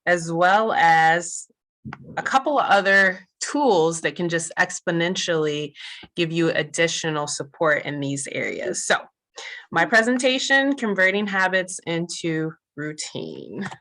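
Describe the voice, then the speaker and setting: A woman business coach hosts a webinar and moves into her own presentation. Her voice sits a little low, and she speaks in a polished, upbeat way. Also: animated, measured pace, a bit bright. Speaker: holistic business coach, host.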